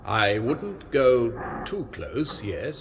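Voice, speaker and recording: A man's voice: medium pitch, sing-song, very slow, long reverb tail, noisy background, heavily acted. An actor playing an apprehensive doctor in a 1970s radio drama, soothing and clinical as he explains, with some hesitation. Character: apprehensive male doctor, clinical yet solicitous experimenter.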